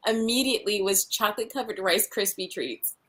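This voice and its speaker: A woman's voice: medium pitch, brisk, a bit bright, poor audio. A woman chatting informally as co-host of a casual livestream, in easy back-and-forth banter.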